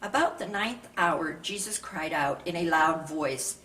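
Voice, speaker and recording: A woman with a slightly low-pitched voice reads a Bible narrative aloud. Her delivery is scripted and measured, in the manner of a scripture reader. She sounds somewhat distant from the microphone, with faint noise behind her. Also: bright, forceful tone. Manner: measured, raises voice for quoted speech.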